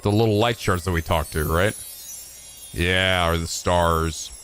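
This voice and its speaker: A man's voice: low-pitched, a little slow, a bit bright, faint music behind. A man giving casual, profane reaction commentary on a video game, often blurting out interjections.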